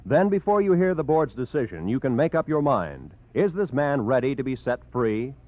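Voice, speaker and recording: Male 1940s radio announcer, formal and crisp, lively pitch, muffled old broadcast. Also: moderate pace.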